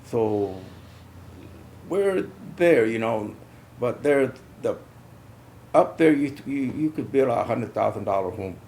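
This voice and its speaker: Veteran interviewee, older man, gravelly and low, halting talk, slight noise behind. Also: expressive, darkish tone. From South Texas. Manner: halting, repeats words. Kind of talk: casual recollection.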